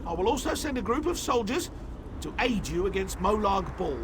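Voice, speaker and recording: A man playing a solemn, formal elf council elder in a video game, with a grave, measured manner. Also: high-pitched, very animated, noisy background. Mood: grave, resolved.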